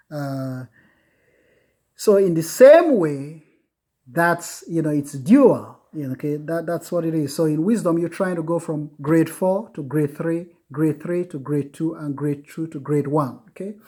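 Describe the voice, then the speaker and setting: A man giving an informal lecture, earnest and repetitive, with many filler pauses. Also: fairly high pitch, a little mellow, slightly rough. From United States. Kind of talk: informal recorded lecture on Buddhism.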